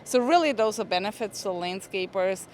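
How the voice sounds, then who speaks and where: Woman in her twenties, relaxed and hedging, interviewed on a trade show floor. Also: medium pitch, moderate pace, slight background noise. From Austria. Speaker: plant product manager. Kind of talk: casual interview.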